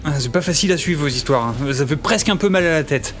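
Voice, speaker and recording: A man playing a bewildered ordinary employee in a comedy audio drama, halting and stammering. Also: a little high, lively intonation, natural voice. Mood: puzzled.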